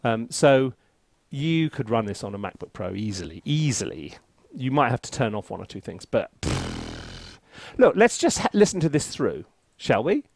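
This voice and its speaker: A man chatting casually as he hosts a software review, with an animated, theatrical and self-mocking manner. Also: mid-range voice, moderate pace, gravelly, husky. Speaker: composer and reviewer, video host.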